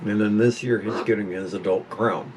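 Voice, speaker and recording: A man's voice: lowish voice, steady tone, normal speed, background noise. A man in his fifties talking casually to camera at home about his pet parrot, rambling and stopping to scold the bird. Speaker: pet owner vlogger.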